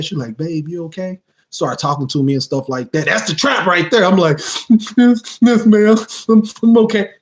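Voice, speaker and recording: A young man, telling a childhood story casually to viewers and acting out the quotes. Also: high-pitched. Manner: animated, acts out quotes, asks "you see what I mean?". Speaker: storytime vlogger.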